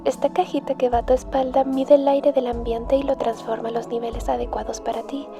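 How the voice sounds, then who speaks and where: A woman narrating a scripted ASMR story in a soft, hushed, breathy whisper. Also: on the high side, level tone, fairly quick, music in the background.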